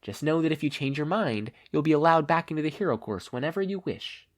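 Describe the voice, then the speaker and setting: Man doing kindly school principal, formal, polite, gentle concern, animated intonation, storybook style. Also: fairly high pitch, fairly quick, pitched above the performer's own voice, natural voice.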